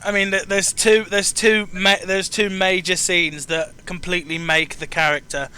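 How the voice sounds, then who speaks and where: A man in his twenties with a fairly high, forceful voice talks casually as an interviewer. His delivery is clean and resonant. He is earnest and tends to restate a thought before finishing it. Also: flat delivery, moderate pace, smooth. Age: 20s. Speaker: interviewer and show host. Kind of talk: casual chat about video games.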